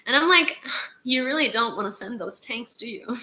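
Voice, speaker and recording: A woman's voice: a little high, lively intonation, normal speed, pushed and bright. Chatty woman reading news, echoey room. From Ukraine. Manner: chatty, laughs often, reads headlines aloud. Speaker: news streamer. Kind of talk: casual live-stream news reading and chat.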